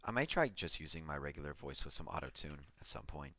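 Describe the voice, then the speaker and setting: A man's voice: a bass voice, lively intonation, fast talker, pushed and bright, no room sound. A man giving an offhand, self-deprecating commentary as he breaks down an electronic track he produced. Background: music from the track being played.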